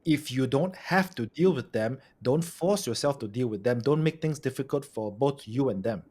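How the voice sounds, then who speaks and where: A man's voice: medium pitch, lively intonation, normal speed, darkish tone, husky. A man, hosting a casual interview in a conversational, inquisitive way and following up with questions. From Malaysia. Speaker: podcast host.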